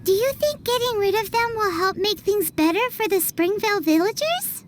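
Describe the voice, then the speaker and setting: A woman voicing a tiny, excitable female fairy companion in a video game, chatty and eager, referring to herself in the third person. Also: very high-pitched, moderate pace, a little hiss or hum. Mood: chirpy, eager. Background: soft game music.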